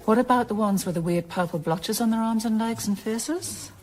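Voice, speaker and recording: A woman's voice: on the high side, moderate pace, a little mellow, slight background noise, natural voice, poor audio. A woman playing a persistent, inquisitive journalist in a naturalistic radio drama, asking probing questions in a conversational way.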